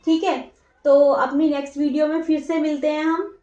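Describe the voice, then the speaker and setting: A woman's voice: high-pitched, little pitch movement, moderate pace, mellow, highs cut off. A woman teacher dictating exam revision notes, repeating each phrase word by word and asking herself questions, then answering them. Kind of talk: slow dictation-style lesson. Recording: echoey room, voice from far off.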